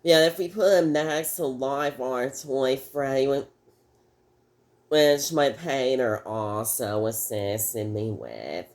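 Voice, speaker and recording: A man hosting a casual toy review video, talking in a halting, rambling way with many restarts, his voice a little off because he is sick. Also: medium pitch.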